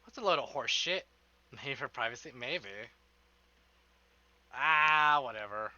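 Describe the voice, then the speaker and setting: A man's voice: mid-range voice, lively intonation, takes it slowly, pushed and bright, no echo, dull audio. A man streaming live, chatting casually with his viewers in an informal, rambling way and swearing freely.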